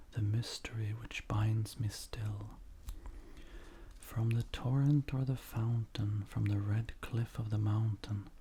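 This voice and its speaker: A man reads in a breathy, near-whispered ASMR voice. His tone is mellow and soft, and he moves slowly and gently through each phrase. A faint noise sits behind him. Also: lowish voice. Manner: gentle, unhurried, measured. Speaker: ASMR creator reading poetry. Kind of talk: soft-spoken ASMR poetry reading.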